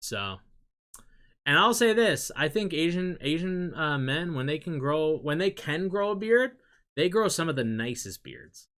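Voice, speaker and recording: A young man chatting loosely with his viewers, rambling and trailing off into quiet asides. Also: fairly high pitch, big pitch swings, measured pace. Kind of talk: casual rambling chat.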